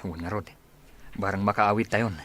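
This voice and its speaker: A man's voice: lowish voice, steady tone, moderate pace, a bit bright, natural voice. A man with an Ilocano accent playing a weary, homesick soldier in a radio drama. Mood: weary, reflective. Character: weary soldier longing to go home.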